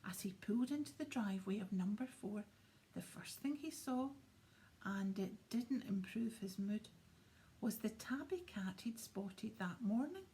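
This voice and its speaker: A woman reads a storybook aloud in a soft, often whispered voice. Her tone is mellow and warm, and a faint hiss of noise sits behind it. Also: medium pitch, animated, measured pace. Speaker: audiobook narrator. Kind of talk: storybook reading.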